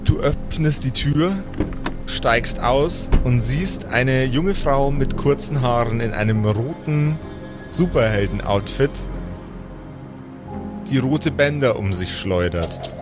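A man runs a tabletop game as game master over clearly audible music. His mid-pitched voice moves between theatrical storytelling and teasing, casual banter. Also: takes it slowly. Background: background music.

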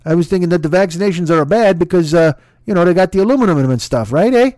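A man's voice: high voice, lively intonation, racing, darkish tone, no echo, clean studio sound. A man voicing a dim Canadian conspiracy believer with a Canadian accent, folksy and earnest, tagging on eh.

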